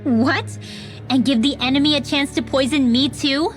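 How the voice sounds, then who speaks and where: A woman's voice: high voice, normal speed, soft background music, close to an everyday voice. An actress playing a feisty, suspicious young girl adventurer in a game, pert and emphatic. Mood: wary, indignant. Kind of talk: dramatic video game acting.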